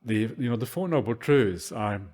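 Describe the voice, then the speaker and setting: A man, a Buddhist monk, answering questions at a meditation retreat in a gentle, halting way with many "uh" fillers. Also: mid-range voice, animated. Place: retreat hall at a forest monastery.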